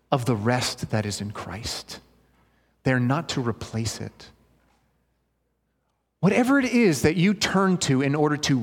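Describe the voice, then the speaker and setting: A male pastor preaching a sermon, reading scripture and then explaining it in an earnest, conversational way. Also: medium pitch. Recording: long hall reverb.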